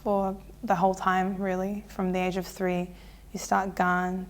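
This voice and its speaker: A woman, telling her life story in a relaxed, chatty way full of fillers and restarts. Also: on the low side, unhurried. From Australia. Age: 20s. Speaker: interviewee. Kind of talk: casual personal storytelling.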